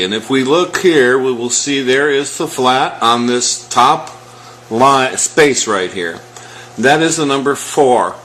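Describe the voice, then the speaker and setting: Very slow-talking man teaching. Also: mid-range voice, fairly bright tone, roomy sound, slight background noise. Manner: measured, step-by-step explaining. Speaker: music teacher. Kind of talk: explanatory music lesson, talking through examples.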